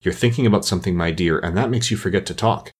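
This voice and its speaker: A man's voice: deep voice, fast, husky. A man voicing an ugly, fawning storybook Duchess who moralizes at length and agrees effusively. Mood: coaxing, preachy.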